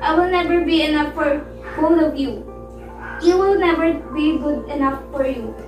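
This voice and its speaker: High-voiced, earnest young daughter played by a woman. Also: normal speed, close to an everyday voice. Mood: hurt, pleading. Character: hurt, outspoken youngest daughter of strict parents. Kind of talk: amateur one-act melodrama acting. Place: living room, far camera mic. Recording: echoey room, distant mic, music over it.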